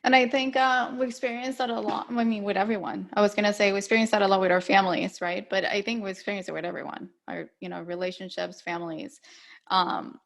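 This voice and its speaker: A woman's voice: medium pitch, fairly even intonation, quick pace, gravelly. A woman from Colombia hosting a casual interview, talking personally and hesitantly, often correcting herself as she goes. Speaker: interview host.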